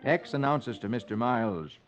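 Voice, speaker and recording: A muffled vintage radio recording with music behind a man narrating. His delivery is animated and theatrical, that of a 1950s host reading adventure stories aloud. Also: medium pitch, normal speed. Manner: theatrical storytelling.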